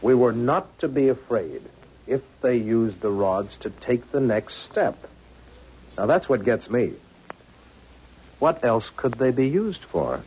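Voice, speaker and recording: A man's voice: mid-range voice, animated, slow, a little mellow, a little hiss or hum. A man playing a keen, blunt newsman in an old radio science fiction drama, with punchy, informal, urgent delivery. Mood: puzzled. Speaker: supporting actor in radio serial. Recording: muffled, dull old radio recording.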